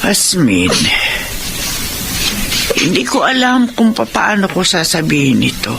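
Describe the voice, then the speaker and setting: Radio drama grandmother: elderly, tender and consoling, creaky voice with big pitch swings, noisy background. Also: big pitch swings, a little slow, exaggerated voice. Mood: gently sorrowful. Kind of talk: Filipino radio drama acting.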